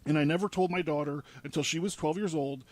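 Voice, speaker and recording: Higher-pitched man reading articles aloud. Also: quick pace, darkish tone. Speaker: podcast presenter laying out a case. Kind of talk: reading news articles aloud with casual asides. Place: studio.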